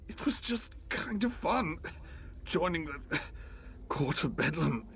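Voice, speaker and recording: Pained, high-voiced wounded cultist man. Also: very animated, slow pace, a bit bright, slightly rough, heavily acted. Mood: pained, regretful. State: in pain, strained. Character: wounded, regretful young male cultist defector, pleading. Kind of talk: video game voice acting.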